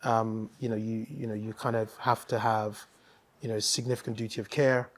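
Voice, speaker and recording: A man from Nigeria, a guest in a casual interview, talking thoughtfully with many pauses and restarts. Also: on the low side, level tone.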